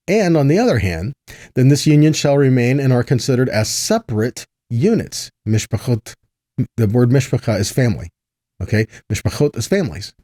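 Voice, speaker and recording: Mellow-voiced man teaching scripture. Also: medium pitch, no room sound, clean studio sound. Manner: conversational, checks in with "right?" and "see that?"; reads scripture passages aloud. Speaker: Bible teacher. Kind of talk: weekly Torah portion teaching.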